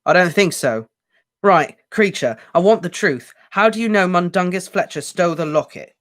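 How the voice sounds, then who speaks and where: A man's voice: high voice, lively intonation, normal speed, fairly bright tone, pitched above the performer's own voice. A man voicing a fierce teenage boy wizard who fires off urgent, commanding questions. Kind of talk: audiobook character voice.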